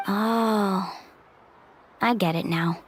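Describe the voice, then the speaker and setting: A woman's voice: mid-range voice, extremely slow, a little hiss or hum. A woman voicing a spirited, friendly young female guide in a video game, upbeat and sincere. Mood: earnest, curious. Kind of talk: scripted game dialogue. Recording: background music under the dialogue.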